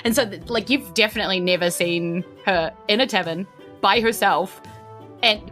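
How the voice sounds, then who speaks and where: A woman's voice: mid-range voice, moderate pace, a bit bright, faint music behind. A woman with a New Zealand accent narrating a tabletop game as game master, chatty and informal.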